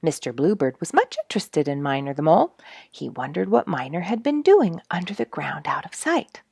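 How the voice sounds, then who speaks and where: A woman reading a children's story aloud with expressive, emphatic storytelling. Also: sits low, normal speed, gravelly, slightly rough, dry recording, clean studio sound. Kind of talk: read-aloud storybook narration.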